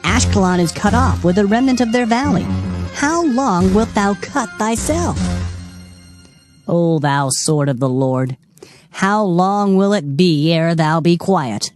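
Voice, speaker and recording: A woman's voice: on the low side, animated, slow. A woman reading King James scripture as a dramatized performance, solemn and declamatory. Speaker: scripture reader. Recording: music bed under the voice. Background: music.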